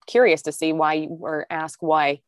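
A woman's voice: low-pitched, little pitch movement, unhurried, dry recording, studio quality. Woman with a stuffy cold, chatting. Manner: chatty, asks follow-up questions. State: nasal from a cold. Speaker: podcast co-host. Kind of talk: casual chat.

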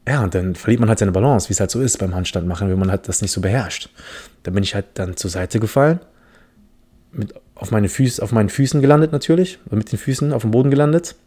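A man's voice: on the low side, fast, mellow. A man from the Philippines hosting a casual solo podcast, telling a story in a rambling, self-mocking way with many restarts. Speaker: podcast host.

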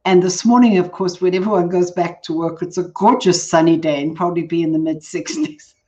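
An older woman with a South African accent, speaking as a guest coach in a relaxed interview and laughing often. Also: on the low side, fairly quick. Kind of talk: casual interview chat.

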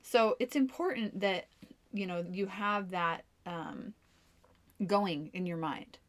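A woman's voice: medium pitch, slow. A woman co-hosting a podcast, a mom giving upbeat, encouraging advice in casual chat. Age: adult mom. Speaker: podcast co-host.

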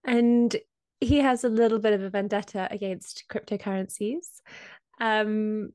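A woman with a slight cold, explaining finance topics in a casual podcast chat with many pauses and ums. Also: mid-range voice, steady tone, darkish tone, no echo, studio quality. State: has a cold. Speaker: co-host explaining crypto.

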